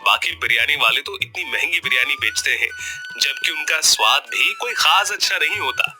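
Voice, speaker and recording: A man playing a greedy, smooth-talking village biryani seller in a cartoon story, with emphatic, expressive delivery. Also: on the high side, normal speed, over music, natural voice. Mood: scheming, greedy. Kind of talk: Hindi cartoon story voice acting.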